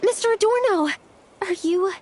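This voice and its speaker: Earnest, high-voiced young heroine. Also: female voice, normal speed, a little mellow, faint music behind. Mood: worried. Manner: earnest, concerned. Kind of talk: anime-style video game voice acting.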